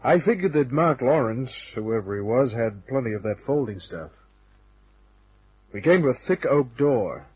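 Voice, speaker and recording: Husky, laconic hard-boiled detective. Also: male voice, mid-range voice, expressive, a little slow, a little mellow, highs cut off. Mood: dry narration. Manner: wry, casual, hard-boiled. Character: laconic, tough, cynical male private detective. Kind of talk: old-time radio detective drama acting with first-person narration.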